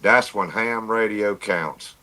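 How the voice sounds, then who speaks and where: Man, lowish pitch, bright forceful tone, folksy, plainspoken, casual storytelling, repetitive phrasing. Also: slow pace. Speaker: amateur radio operator, livestream guest. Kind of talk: casual storytelling on a livestream chat.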